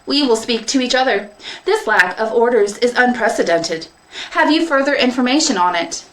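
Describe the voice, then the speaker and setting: A woman voicing a big, logical farm robot with a stiff, measured, superior robotic delivery. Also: a little high, expressive, a bit bright, voice pushed up, rough recording. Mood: flat, officious.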